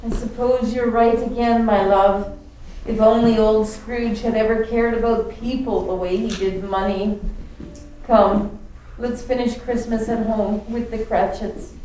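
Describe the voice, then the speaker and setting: Actress as gentle grieving spouse, highish voice, slow, radio drama, distant mic. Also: female voice, fairly even intonation, a little hiss or hum, natural voice. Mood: weary sorrow. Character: sorrowful, gentle spouse grieving lost lives. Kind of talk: radio play acting. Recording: distant, very echoey stage.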